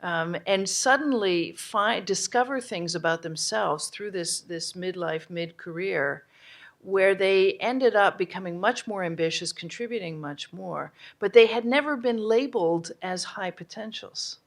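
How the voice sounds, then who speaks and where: A woman's voice: mid-range voice, a little slow, creaky. A woman moderating a live audience discussion at a conference, energetically prompting the room for takeaways. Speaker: summit facilitator.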